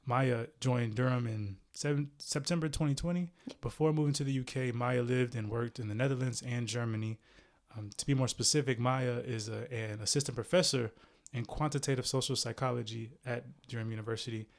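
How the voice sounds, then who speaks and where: A man's voice: mid-range voice, a little fast, gravelly. A man in his thirties hosting a podcast, introducing his guest in a friendly way with many "um"s and "okay"s. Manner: friendly, fills gaps with um and okay. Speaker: podcast host. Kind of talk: podcast interview.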